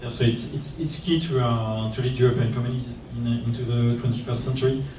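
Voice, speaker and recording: A man's voice: mid-range voice, fairly even intonation, a little fast, noisy background. A male panelist in a conference discussion, speaking hesitantly and repeating words. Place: conference room. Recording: echoey room, voice from far off.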